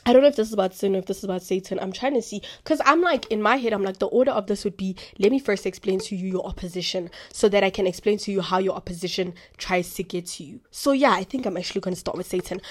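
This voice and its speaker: A young woman hosting a podcast, talking about faith in a chatty, rambling way full of fillers, with a naturally deep voice. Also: darkish tone. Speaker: podcast host. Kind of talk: casual faith talk.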